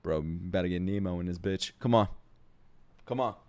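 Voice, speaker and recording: A man doing casual live-stream reaction commentary to game trailers, excitable, slangy and profane. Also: low-pitched, normal speed. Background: game trailer music.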